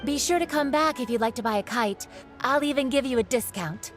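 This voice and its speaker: A woman's voice: high voice, a little fast, soft background music, close to an everyday voice. An actress playing a polite, helpful female shopkeeper in a video game, explaining things like a keen seller. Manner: polite, sales-minded, explanatory. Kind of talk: video game character acting.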